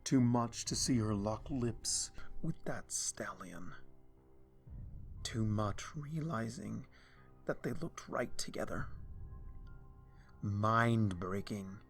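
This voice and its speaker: A man reading a dark fan story aloud in a slow, hushed, brooding way. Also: lowish voice, animated. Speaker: fanfiction reader. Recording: soft music underneath.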